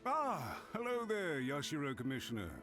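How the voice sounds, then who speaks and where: A courteous servant of a noble house in a game. His voice is on the high side for a man and swings with eager formality, music clearly behind. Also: sing-song, normal speed. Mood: obliging. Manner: formal, obliging. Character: polite, deferential clan retainer receiving guests. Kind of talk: video game character acting.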